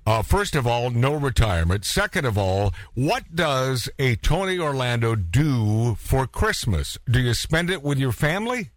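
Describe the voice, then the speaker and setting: Animated man hosting a radio interview. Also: mid-range voice, no room sound. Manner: joking, mock-stern, halting with repeated phrases. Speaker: radio show host interviewing a guest. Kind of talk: casual, bantering radio interview.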